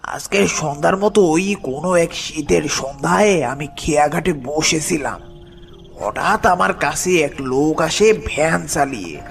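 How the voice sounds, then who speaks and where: A man playing an older village boatman, frightened and hesitant, slowly telling a dramatic horror story with a creaky voice. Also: a little high, lively intonation. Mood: haunted, tense. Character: frightened village boatman uncle recounting his haunted past. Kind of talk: horror cartoon story narration. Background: music.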